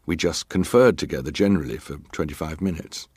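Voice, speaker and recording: Casting: middle-aged male naval officer in hearing drama, deep voice, clipped formal answers, close dry sound. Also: brisk, a little mellow, slightly rough, dry recording. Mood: guarded, composed. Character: formal ship's officer testifying, guarded and terse. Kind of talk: dramatised reading of hearing testimony.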